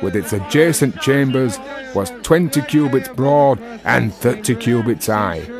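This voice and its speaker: An actor playing an earnest working-class Victorian Freemason in a radio drama, holding forth in high-flown, archaic, declamatory phrases. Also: male voice, lively intonation, a little slow. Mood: rapt. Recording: music under the voice.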